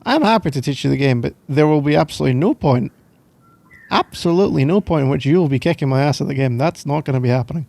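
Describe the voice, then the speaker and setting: Gravelly Scottish man, close and dry. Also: a little high, quick pace, a little mellow, no room sound. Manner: playful, teasing, rambling. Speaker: ASMR roleplay performer. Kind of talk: casual boyfriend roleplay ASMR. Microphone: close microphone, dry sound.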